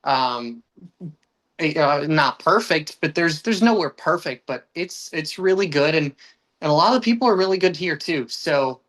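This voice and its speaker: An earnest young American man speaking as a podcast guest. His speech comes in uneven bursts, sometimes slow and sometimes quick, with restarts along the way. He sounds like someone in casual conversation. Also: on the high side, animated, fairly bright tone. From United States.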